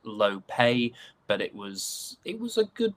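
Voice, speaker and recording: A man's voice: medium pitch, very animated, slow pace. A man from the UK speaking as an interview guest in a casual, thoughtful, hesitant way, often repeating words as he goes. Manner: hesitant, thoughtful, trailing repetitions. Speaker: interview guest, stage actor.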